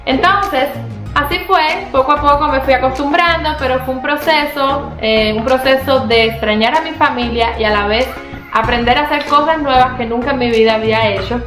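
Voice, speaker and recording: A high-voiced Cuban woman whose accent carries a Dominican lilt, chatting through her own story and calling out to her audience. She sounds like she is in an echoey room, with music playing behind her. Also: moderate pace. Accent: Dominican-tinged Cuban Spanish. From Cuba. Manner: chatty, addresses viewers as "señores". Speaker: storyteller in a reacted video. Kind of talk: personal story told to viewers.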